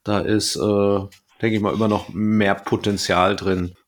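Low-pitched man chatting. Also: flat delivery, measured pace. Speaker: podcast co-host. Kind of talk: casual discussion of an audio drama, retelling and commenting.

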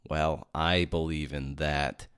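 A man hosting a podcast with a very deep voice. He chats casually, with plenty of fillers and restarts. The sound is close and dry. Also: little pitch movement, takes it slowly, a bit bright, smooth, no echo. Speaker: podcast host.

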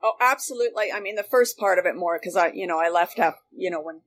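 A woman's voice: lowish voice, quick pace. A Canadian woman reminiscing casually as a guest, chatty and emphatic, rambling from one thought to the next. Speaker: guest, former radio worker.

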